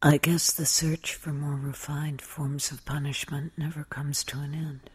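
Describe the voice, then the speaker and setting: An actress playing a brooding, lovesick woman, confiding in a breathy near-whisper with measured, intimate delivery in a radio play. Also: sits low, level tone, exaggerated voice. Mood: bitterly musing. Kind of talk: intimate dramatic monologue.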